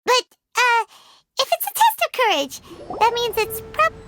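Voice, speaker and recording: A woman voicing a small, curious, excitable childlike companion in a video game, with a squeaky voice. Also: measured pace, heavily acted. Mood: excited, puzzled. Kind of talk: scripted video game voice acting. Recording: loud background music.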